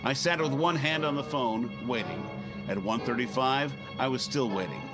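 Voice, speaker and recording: A man's voice: mid-range voice, moderate pace, over music, lightly acted. A man playing a cynical, hard-boiled newspaper editor in a 1950s-style radio drama, clipped and matter-of-fact. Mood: grimly narrating. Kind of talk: 1950s radio drama acting and first-person narration.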